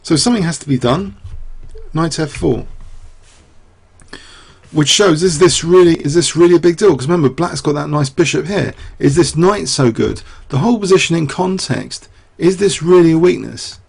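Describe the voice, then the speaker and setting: Chess commentator, male, fairly high voice with a rough, raspy edge, thinking aloud, losing his voice. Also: moderate pace, a little mellow. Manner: rambling, thinking aloud with many fillers and rhetorical questions. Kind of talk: chess game analysis.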